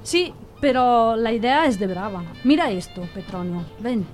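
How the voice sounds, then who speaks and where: A woman playing a self-assured, proud wife in an audio drama, brisk and showing off. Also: a little high, animated, soft background music.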